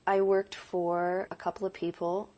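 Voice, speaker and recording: A woman's voice: flat delivery, a little slow, darkish tone. A young adult woman producer and director talking in a casual interview, earnest and reflective, thinking aloud with small restarts. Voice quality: deep voice.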